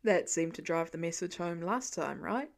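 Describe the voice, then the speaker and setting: Woman voicing a calm dad, pitched down. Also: normal speed, gravelly. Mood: calm, reasoning. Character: calm, soothing father with gentle dry humour.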